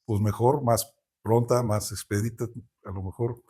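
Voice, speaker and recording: Husky Mexican host, relaxed talk. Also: male voice, on the low side, unhurried. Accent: Mexican Spanish. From Veracruz, Mexico. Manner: relaxed, conversational, with hesitant restarts. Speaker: interviewer host. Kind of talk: casual interview.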